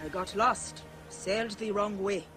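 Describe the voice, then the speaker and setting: A woman's voice: mid-range voice, steady tone, unhurried, a bit bright, soft background music, lightly acted. A woman playing a tough, caring rebel leader of ancient Egypt in a video game, firm and resolute. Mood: guarded. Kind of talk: video game cutscene acting.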